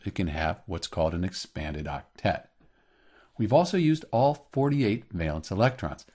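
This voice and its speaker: Male tutorial narrator, lowish voice, very varied intonation, teacherly step-by-step delivery. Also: a little mellow. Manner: clear step-by-step explaining. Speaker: chemistry teacher, tutorial host. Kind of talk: scripted instructional narration.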